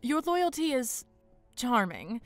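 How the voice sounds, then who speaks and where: A woman playing a large, composed female dragon leader in an audio drama, dry and measured. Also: a little high, very animated, faint music behind. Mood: dry, amused.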